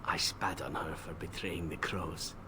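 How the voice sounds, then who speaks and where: A man playing a weary, guilt-ridden elven assassin in a video game, speaking in a measured, solemn, confessional way. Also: low-pitched, steady tone, noisy background. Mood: somber, bitter. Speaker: game companion character. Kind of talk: dramatic video game voice acting.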